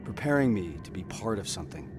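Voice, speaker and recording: Low-voiced, war-weary squad commander, loud music. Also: male voice, very animated, fast. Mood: brooding, uneasy. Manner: world-weary, blunt, reflective. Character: weary, disillusioned male military squad commander, brooding, frank. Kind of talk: video game cinematic acting.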